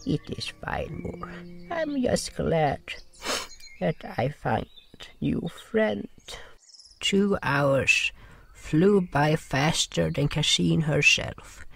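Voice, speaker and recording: A man playing a gentle nonhuman swamp creature who narrates a fantasy audio drama haltingly, in broken grammar with dropped articles. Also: on the high side, expressive, creaky, exaggerated voice. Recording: music behind the voice.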